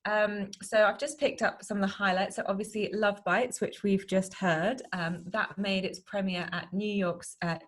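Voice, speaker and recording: A woman's voice: medium pitch, steady tone, moderate pace, few pauses. A woman hosts an interview in a mid-range voice. She talks conversationally and is openly effusive and upbeat as she presents her guest. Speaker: show host. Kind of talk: interview introduction, chatty.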